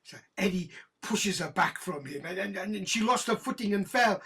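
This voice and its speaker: Casting: embittered British policewoman, middle-aged, bright but rasping edge, volatile and halting, audio drama. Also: medium pitch, lively intonation, exaggerated voice. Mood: anguished confession. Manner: halting, bitter, emotionally volatile. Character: embittered, guilt-ridden senior female police detective, obsessive and weary. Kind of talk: naturalistic audio drama acting.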